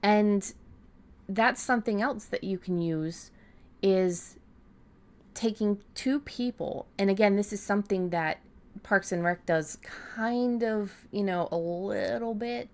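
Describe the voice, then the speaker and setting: A woman's voice: medium pitch, takes it slowly, darkish tone. A woman co-hosting a podcast, talking casually and informally about writing, often rambling and correcting herself. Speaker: podcast co-host. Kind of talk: casual writing-advice discussion.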